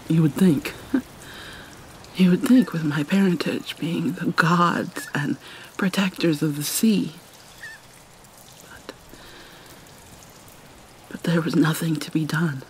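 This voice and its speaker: Casting: woman to play haunted vampire mermaid princess for ASMR drama; slow, theatrical, breathy near-whisper, light rainy ambience behind. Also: low-pitched, fairly even intonation, slight background noise. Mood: anguished, haunted. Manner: halting, theatrical, mournful. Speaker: roleplay voice actor. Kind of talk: dramatic ASMR roleplay monologue.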